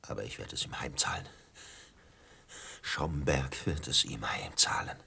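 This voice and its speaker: An actor in a German radio drama playing a whining, resentful barkeeper with a husky voice, given to self-pitying rants. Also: male voice, very deep voice, unhurried, bright, forceful tone, whispered, exaggerated voice. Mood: brooding, vengeful. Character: whining, resentful hotel barkeeper, obsequious yet vengeful man.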